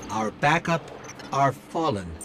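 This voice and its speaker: A man's voice: on the high side, lively intonation, slow pace, background noise. A man voicing an earnest, curious little robot companion in a video game. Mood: puzzled, businesslike.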